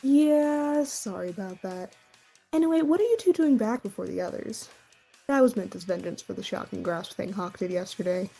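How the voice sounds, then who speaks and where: A woman acting a cocky, mischievous, melodramatic young spellcasting housemate in a fantasy comedy audio drama, husky, teasing and theatrical. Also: mid-range voice, animated, normal speed, dark tone, soft background music.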